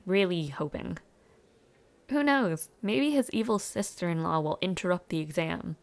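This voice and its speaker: A young woman playing a friendly, chatty university student in an audio roleplay, speaking casually with hesitant afterthoughts and a creaky voice. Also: fairly low pitch, expressive, moderate pace. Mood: chatty, wry. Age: young adult. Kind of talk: intimate audio roleplay, casual one-sided conversation.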